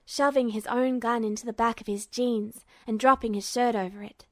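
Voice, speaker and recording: A woman with a high voice narrates a fan fiction story. It is a scripted read, recorded very dry with hardly any room sound. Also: fairly even intonation, normal speed, no room sound.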